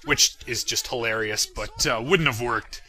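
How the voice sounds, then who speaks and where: Very bright-voiced man, casual commentary. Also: mid-range voice, unhurried. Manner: self-deprecating, irreverent, swears freely; often chuckling as talks. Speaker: creator giving commentary on own fan parody series. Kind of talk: casual unscripted video commentary.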